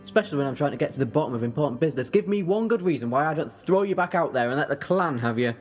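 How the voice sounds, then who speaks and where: A man playing a quick-witted, sardonic time-travelling adventurer in an audio drama, speaking in a wry, emphatic way. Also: a little high, expressive, darkish tone, lightly acted. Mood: stern. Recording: reverberant, music underneath.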